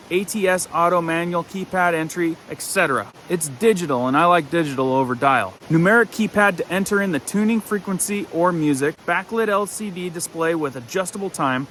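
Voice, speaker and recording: Higher-voiced man reviewing gear over clear background noise. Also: fairly bright tone. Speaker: product reviewer. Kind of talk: casual product review to camera, listing features.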